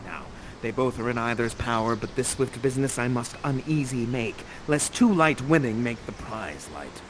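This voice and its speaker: A man's voice: medium pitch, animated, moderate pace, a little hiss or hum, pitched below the performer's own voice, natural voice, rough recording. A man voicing a stern older sorcerer-duke, speaking verse in a commanding, theatrical way.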